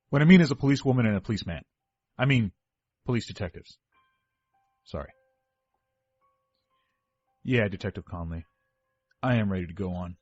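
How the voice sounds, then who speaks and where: An actor playing an overweight eighteen-year-old rich kid giving a rambling, defensive first-person confession to police. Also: male voice, lowish voice, darkish tone, dry recording, highs cut off. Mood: uneasy, apologetic. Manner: rambling, self-correcting, defensive. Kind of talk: dramatic first-person monologue reading. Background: background music.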